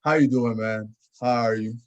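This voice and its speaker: A man's voice: mid-range voice, normal speed. A man hosting a podcast in casual chat, often repeating his phrases. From Houston area, United States. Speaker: podcast host. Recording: dull, lo-fi audio.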